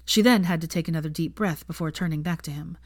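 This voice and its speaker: A woman reading fiction aloud as an audiobook narrator, measured and gentle in her storytelling. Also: sits low, dry recording, clean studio sound. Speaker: audiobook reader of fan fiction.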